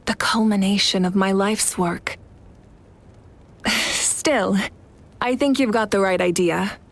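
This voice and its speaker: A woman voicing a resolute, composed female leader of a warrior nation in a video game, speaking in a measured, assured, formal manner. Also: medium pitch. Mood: calm, sincere. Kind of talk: video game story voice acting.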